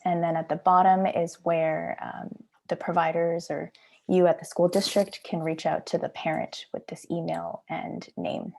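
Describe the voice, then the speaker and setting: A woman giving an informal walkthrough of software as a project manager. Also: lowish voice, little pitch movement, moderate pace, creaky.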